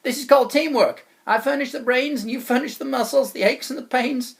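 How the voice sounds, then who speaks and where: A man voicing a bossy, boastful, cheery chap from a children's storybook, loud, emphatic and full of confidence. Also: extremely high pitch, brisk, gravelly, voice pushed up. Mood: bossy, smug. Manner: loud, emphatic, hearty, overconfident.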